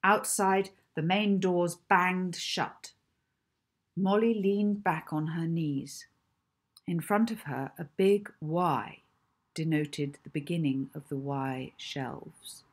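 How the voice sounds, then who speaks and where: A woman's voice: on the low side, fairly even intonation, slow. A woman, narrating a children's novel expressively. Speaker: author reading own children's novel.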